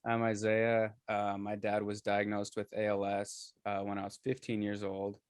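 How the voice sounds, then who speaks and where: A man's voice: lowish voice, monotone, moderate pace, clear, resonant tone, no echo, clean studio sound. A twenty-one-year-old man introducing himself as a panel guest, laid-back and brief. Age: young adult. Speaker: panel guest, land surveyor.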